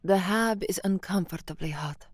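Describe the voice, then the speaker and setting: An actress playing a young newsreader in a science fiction audio drama, weary and anxious. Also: female voice, on the low side, normal speed, no echo, faint music behind, natural voice. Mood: weary, introspective. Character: young slum-born newsreader, anxious, weary, hard-drinking narrator. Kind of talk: audio drama first-person narration and newsreading.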